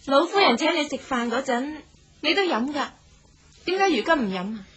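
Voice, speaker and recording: A woman playing a shrewd, confident young traveller in a radio drama, brisk and assertive, with a husky edge and a Hong Kong Cantonese accent. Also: high-pitched, animated, a bit bright, dull audio, a little hiss or hum. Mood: coaxing.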